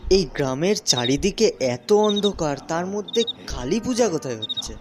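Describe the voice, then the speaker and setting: A man's voice: sits high, sing-song, moderate pace, over music. A man playing a frightened boy in a horror audio drama, fearful and hesitant, repeating his words. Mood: puzzled. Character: frightened boy Apu, trapped in haunted house.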